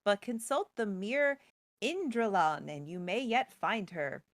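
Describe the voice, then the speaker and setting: Woman as solemn fae lord, storybook quest giver, formal, commanding, measured, dry recording. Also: mid-range voice, expressive, no room sound.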